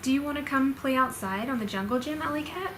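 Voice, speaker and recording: A woman's voice: sits high, fast, noisy background, pitched above the performer's own voice, natural voice. A woman voicing a friendly, encouraging young boy kitten in a storybook, upbeat and reassuring.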